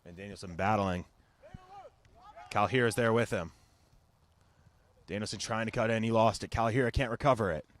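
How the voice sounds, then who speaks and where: Energetic man calling soccer. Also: on the low side, normal speed, pauses often, no room sound. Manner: clipped, energetic calls in short phrases. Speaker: soccer play-by-play announcer. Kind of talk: television soccer commentary.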